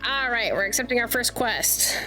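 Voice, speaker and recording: A woman's voice: a little high, normal speed, sharp tone, rough recording. A woman streaming a video game, chatting casually and muttering to herself. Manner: talks to self, sings little filler syllables. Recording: game music behind the voice. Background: game music.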